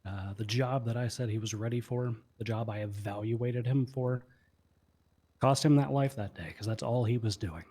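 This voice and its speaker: A stern police commander in mourning played by a man addresses a court. His mid-range voice is solemn and measured, with the weight of a formal roleplay oration. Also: medium pitch, fairly even intonation, warm tone, breathy edge, close to an everyday voice. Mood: grave, regretful.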